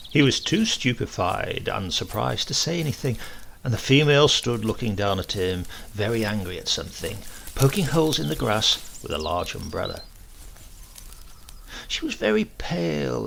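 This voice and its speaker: A man reading a literary comic story as an expressive, deliberate storyteller. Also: on the low side, vocal fry, a little hiss or hum. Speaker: reader of a comic short story. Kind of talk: scripted narration, literary storytelling.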